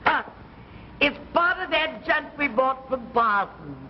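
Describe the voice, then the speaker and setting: An actress playing a scornful, scolding shopkeeper's wife in a 1950s drama, sharp and dismissive, in an old broadcast recording. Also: female voice, sits high, sing-song, slow pace, dull audio, a little hiss or hum, full character voice. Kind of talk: 1950s television anthology drama acting.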